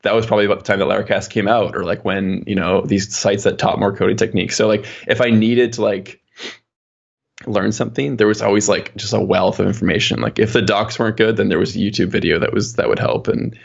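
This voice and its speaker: A man's voice: lowish voice, fairly even intonation, fast, gravelly, dull audio. A man chatting casually as an interview guest, explaining his work as an engineer in a rambling, informal way. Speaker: podcast guest, software engineer.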